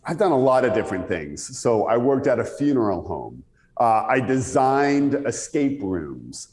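A man's voice: mid-range voice, big pitch swings, a little slow, darkish tone. A middle-aged Canadian man with a radio-style voice, telling conversational anecdotes as an interview guest. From Ontario, Canada. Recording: echoey room with long reverb.

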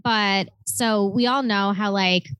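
A woman in her late twenties co-hosting a podcast, telling stories in a chatty, animated way full of "like" fillers. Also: mid-range voice, slow, smooth, dry recording. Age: 20s. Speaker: podcast co-host. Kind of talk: casual chat.

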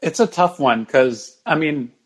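A man's voice: fairly high pitch, lively intonation, unhurried, breathy edge. A man speaking as a guest in casual sports podcast conversation.

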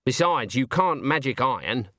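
A man voicing a stern, haughty old woman witch in an audiobook, clipped and deadpan. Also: medium pitch, moderate pace, dry recording, studio quality. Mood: stern, irritated. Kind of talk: audiobook character acting.

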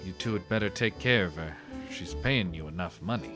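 A man's voice: low-pitched, lively intonation, fairly quick. An actor playing a cocky, wisecracking young male amateur detective in a 1930s audio drama. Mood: breezy. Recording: loud music under the dialogue.

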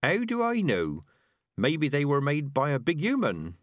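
A man's voice: fairly high pitch, wildly swooping pitch, a little slow, no room sound, studio quality, voice pushed up. A man voicing a blunt, skeptical rat in an audiobook, with a grumbling, colloquial manner. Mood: skeptical, irritated.

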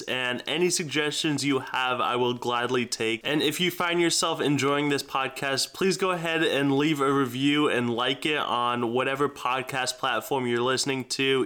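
A man's voice: medium pitch, bright, forceful tone. A man hosting a podcast, talking eagerly and casually, laughing often and filling with "like". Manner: eager, chatty, laughs a lot, many "like"s. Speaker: podcast host.

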